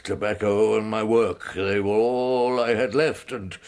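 An actor playing an elderly, courtly invalid professor in a radio drama, British, wheezy and halting, with ornate phrasing. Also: male voice, fairly low pitch, flat delivery, a little mellow. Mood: mournful. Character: elderly invalid scholar, courtly, self-pitying, secretly scornful.

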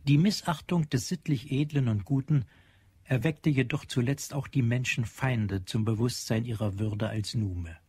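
A man narrating a German radio play as literary storyteller, solemn and measured. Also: mid-range voice, darkish tone, breathy edge, no room sound.

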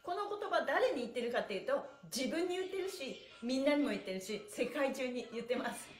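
A woman's voice: sits high, sing-song, fairly quick. A middle-aged woman hosting a stretching class, giving cheerful, exclamatory and polite instruction with playful wording to a livestream audience. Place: small bare indoor room with exercise mat. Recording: echoey small room.

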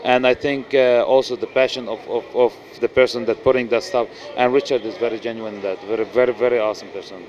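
Lebanese man, earnest and halting. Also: mid-range voice, fairly even intonation, lo-fi sound. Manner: earnest, halting with many restarts and fillers. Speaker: actor, interview guest. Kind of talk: casual interview. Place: noisy indoor event venue. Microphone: handheld mic.

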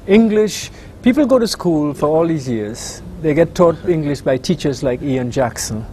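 Older host with a mellow, slightly raised voice, posing probing questions in a measured way. A faint hush of room ambience lies behind him. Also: male voice, on the high side, lively intonation, a little hiss or hum. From Dominica. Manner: measured, probing, with frequent backchannel. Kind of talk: interview questions.

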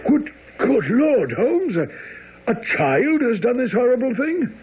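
A man acting in a radio drama as a baffled, sceptical gentleman assistant, stammering and incredulous. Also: extremely high pitch, big pitch swings, measured pace, husky, heavily acted. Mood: flustered. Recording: thin, tinny radio broadcast.

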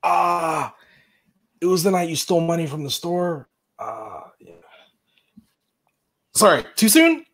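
A man's voice: on the high side, sing-song, slow, pauses often, fairly bright tone, heavily acted. A man reading a teasing young coworker at a garage over a video call.

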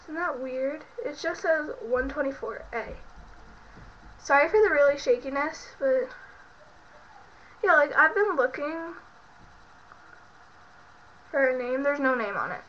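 A woman's voice: high voice, unhurried, pauses often, slight background noise. Congested girl on a webcam. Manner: chatty, rambling, lots of fillers and self-corrections. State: sick and congested. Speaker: beauty vlogger. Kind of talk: casual product show-and-tell. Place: bedroom at home. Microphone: built-in webcam mic. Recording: muffled lo-fi webcam audio.

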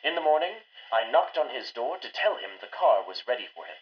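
A fussy, formal butler played by a man speaks ceremoniously in a mid-range voice with lively intonation. The sound is dull, like an old radio play. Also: medium pitch, lightly acted. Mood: reading solemnly. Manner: ceremonious, deliberate delivery. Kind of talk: old-time radio melodrama acting.

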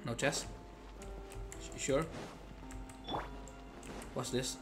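A young man streams a game with casual commentary. He rambles and repeats phrases in a mid-pitched voice, with game music clearly behind him. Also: steady tone, drawn-out words.

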